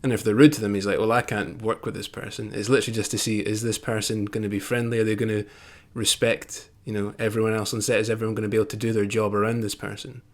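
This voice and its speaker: A man with a fairly low voice co-hosts a relaxed podcast chat. He talks in an agreeable, reflective way and keeps chiming in with short replies of agreement. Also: fast, few pauses. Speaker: podcast co-host. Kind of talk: casual podcast conversation.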